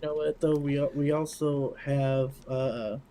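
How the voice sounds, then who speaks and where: A man's voice: mid-range voice, steady tone, measured pace, dark tone. A man joining in casual podcast banter as a co-host.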